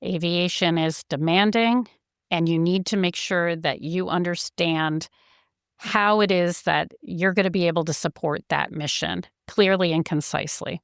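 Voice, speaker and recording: A woman speaks as an interview guest with a slightly low voice and a close, dry sound. She explains things as a company executive, articulate and earnest, with evident enthusiasm for the subject. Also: a little slow, smooth, no echo.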